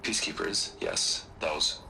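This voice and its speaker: Tense, defensive corporate boss, sharp-voiced man. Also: a little hiss or hum. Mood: reluctant, evasive. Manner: evasive, halting, defensive. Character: defensive, anxious male corporate boss under pressure. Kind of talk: cinematic video game acting.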